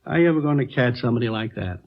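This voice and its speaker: An actor playing a chatty, obliging middle-aged American grocer, folksy and rambling, in a 1950s radio drama. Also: male voice, medium pitch, lively intonation, very fast, heavy background noise, natural voice. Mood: wistful, chatty. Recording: dull old radio recording.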